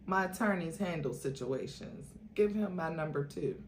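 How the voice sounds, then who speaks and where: Woman putting on an arrogant, calm rich man. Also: deep voice, normal speed, echoey room, slight background noise. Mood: smooth, charming. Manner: calm, confident, smug. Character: arrogant, unflappable wealthy man, smooth and reassuring.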